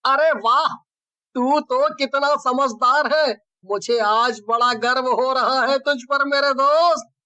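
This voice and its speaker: An earnest, cautious young village sidekick from a cartoon story, played by a man. His voice is very high and bright, and he exclaims as he speaks. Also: takes it slowly, smooth, heavily acted. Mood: admiring.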